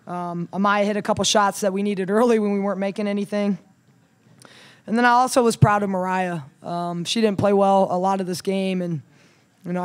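A woman in her thirties, a head coach, talking through a game at a postgame press conference in a measured way, with many ums and restarts, into a table mic. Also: mid-range voice, a little mellow. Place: press room in front of a logo backdrop. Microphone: table mic on a stand.

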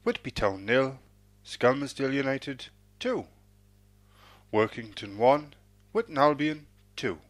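A man reading out football results as an announcer, in a measured, formulaic sing-song cadence. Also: mid-range voice, big pitch swings, no echo.

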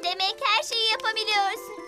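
A little cartoon ladybird, with an extremely high, childlike voice. The playful, inquisitive creature talks over loud children's music. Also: fairly even intonation, a little fast. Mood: curious.

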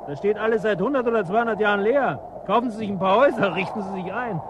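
Actor as hard-hearted male settler, very high-pitched, curt, sardonic, radio play, noisy storm background. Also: fast talker, lightly acted. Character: cynical, suspicious, hard-hearted male ice-frontier settler. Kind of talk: radio drama acting. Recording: noisy storm ambience behind the voice.

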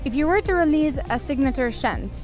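A woman with a slightly high voice asks brief interview questions. Faint music runs behind her as she moves through them one at a time. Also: expressive, fairly quick. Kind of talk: reading short interview questions.

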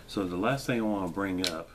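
A man's voice: sits low, background noise. A middle-aged man chatting casually, with frequent fillers, as he demonstrates a camping mess kit at a table and then in his backyard. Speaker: gear reviewer. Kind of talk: casual show-and-tell demonstration.

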